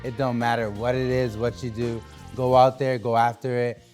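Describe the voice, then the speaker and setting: A man's voice: medium pitch, level tone, normal speed, a little mellow, faint music behind. A young man from New Hampshire talking loosely as a guest on a podcast, with lots of fillers and restarts. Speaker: guest artist. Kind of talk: casual podcast interview.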